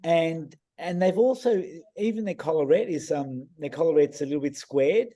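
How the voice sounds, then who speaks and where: A man on a video call explaining eye readings in a casual, enthusiastic way, his voice a bit croaky and full of restarts. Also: on the high side, lively intonation, normal speed, mellow. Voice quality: hesitant, many restarts and fillers. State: croaky voice, clearing throat. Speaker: iridologist guest reading eyes.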